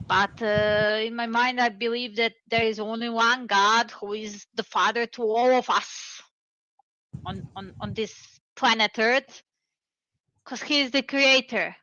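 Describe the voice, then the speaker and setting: Woman from Serbia, video-call guest, bright higher voice, halting delivery with fillers, dull lo-fi line. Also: fairly even intonation, dry recording. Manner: hesitant, many fillers and restarts. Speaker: caller. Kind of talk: casual debate chat.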